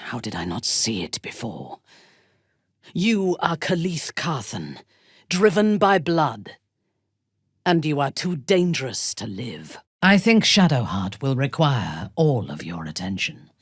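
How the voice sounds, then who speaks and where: Husky, imperious dark elf noblewoman. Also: deep voice, no room sound, clean studio sound, heavily acted. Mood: menacing, then wry, formal. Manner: commanding, deliberate, formal and haughty. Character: imperious, ruthless dark elf noblewoman warrior, coldly devoted. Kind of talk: dramatic fantasy video game acting.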